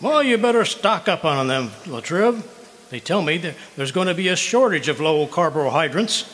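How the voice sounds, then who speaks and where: An actor playing a blustery, wisecracking husband who argues and stammers in an old-style radio comedy. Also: male voice, a little high, lively intonation, moderate pace, fairly bright tone, slight background noise. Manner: wisecracking, indignant, hesitant stammers. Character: blustery, argumentative middle-aged husband, wisecracking schemer. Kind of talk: 1940s radio comedy acting, live re-creation. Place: community hall at a home park, live performance. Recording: reverberant hall, live stage performance.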